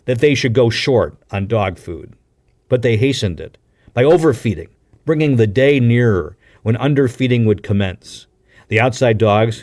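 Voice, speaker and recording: Guy reading an adventure novel out loud, really expressive and dramatic, dry close sound. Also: mid-range voice, dry recording. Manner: dramatic, emphatic storytelling delivery. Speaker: audiobook reader. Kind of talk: expressive scripted narration of a classic adventure novel.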